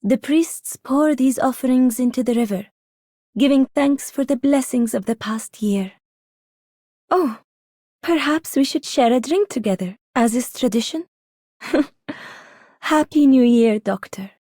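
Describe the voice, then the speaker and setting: A woman's voice: high-pitched, measured pace, pauses often, warm tone, no echo, clean studio sound. A woman playing a gracious, serene, formal young woman in a scripted game voice line. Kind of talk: scripted game character greeting.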